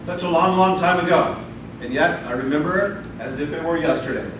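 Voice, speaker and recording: A man giving a theatrical storytelling speech as a contestant, with a dramatic, deliberate delivery full of pauses. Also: a little high. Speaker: speech contestant telling a tall tale. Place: roomy indoor speech contest venue. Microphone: distant camera microphone. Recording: distant, echoey, noisy live room recording. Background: noisy room ambience, audience laughter.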